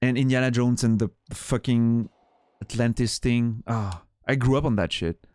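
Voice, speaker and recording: Man, Swiss French accent, native French speaker, streamer chatting to viewers, swears a lot, restarts sentences, mid-pitched voice, dry close sound. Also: normal speed, darkish tone, dry recording. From Switzerland. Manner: rambling and profane, with frequent restarts. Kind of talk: casual chat with chat viewers.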